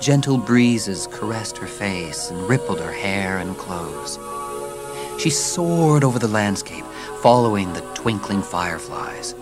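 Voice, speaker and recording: A man narrating a children's story as scripted audiobook, with measured, dramatic storytelling. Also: fairly low pitch, lively intonation, over loud music.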